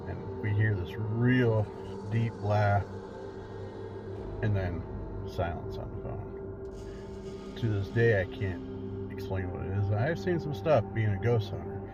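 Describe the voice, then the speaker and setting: A middle-aged man shares a spooky tale in a casual, conversational style. His voice sits somewhat low. The recording is dull and lo-fi, with music playing loudly along with him. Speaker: paranormal investigator telling his own story. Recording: dull lo-fi sound under loud music. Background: loud music.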